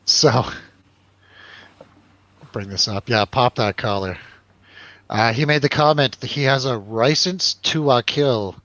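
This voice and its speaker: A man's voice: mid-range voice, slow, long pauses, fairly bright tone, dry recording. A man hosting a casual film review chat, talking in a loose, hesitant, easygoing way over a slightly lo-fi recording. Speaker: host of a film review show.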